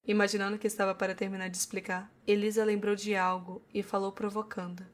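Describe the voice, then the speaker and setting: A woman's voice: medium pitch, flat delivery, fast talker. A woman with a Brazilian accent reading scripted narration for an audio novel.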